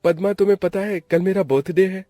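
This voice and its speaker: Man putting on a high-pitched sly young lover. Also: a little fast, dark tone, no room sound, natural voice. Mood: coaxing.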